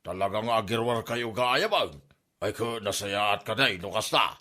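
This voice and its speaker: A man's voice: on the low side, moderate pace, bright, forceful tone. A man playing an older, teasing village uncle with an Ilocano accent in a comedy drama. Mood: curious.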